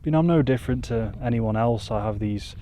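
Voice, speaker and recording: A man, talking to camera in a rambling, self-deprecating monotone on a hilltop, into a mic with a furry windscreen. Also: mid-range voice, a little fast, slight background noise. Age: 20s. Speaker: YouTuber. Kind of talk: casual vlog talk to camera. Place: outdoors on a hilltop.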